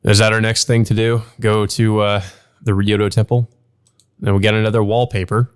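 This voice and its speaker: A man's voice: on the low side, level tone, moderate pace, clean tone. A man narrating a visual novel playthrough, reading the text aloud and adding casual commentary. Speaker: let's play narrator. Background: background music.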